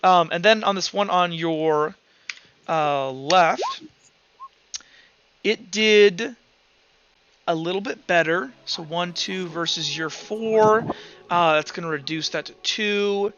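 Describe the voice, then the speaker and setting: A man's voice: sits high, slow, pauses often, fairly bright tone, highs cut off, a little hiss or hum. A man narrating a tabletop roleplaying game as game master, in a casual, informal way. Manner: casual, informal, sound-effect-laden descriptions. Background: music.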